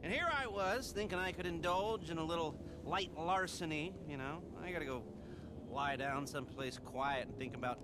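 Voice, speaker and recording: A man's voice: on the high side, normal speed, natural voice. A man acting a neurotic, sarcastic middle-aged hacker who rambles, in a video game. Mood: rambling, weary. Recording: clear background noise.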